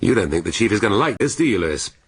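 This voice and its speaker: An actor playing a shrewd, sardonic middle-aged British police inspector in a radio drama, speaking deliberately and with relish. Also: male voice, on the low side, sing-song, slightly rough. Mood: pleased, scheming. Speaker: police detective.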